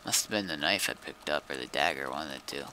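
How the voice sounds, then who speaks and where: A man's voice: rumbling low, quick pace, pushed and bright, a little hiss or hum, rough recording. A man chatting casually and muttering to himself as he plays a game on stream. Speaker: streamer playing a zombie survival game. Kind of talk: casual gaming chat.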